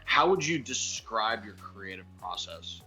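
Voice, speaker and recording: A man's voice: fairly low pitch, lively intonation, a little slow, pushed and bright, faint music behind. A man co-hosting a casual interview, asking questions in a hedging, apologetic way. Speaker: podcast co-host.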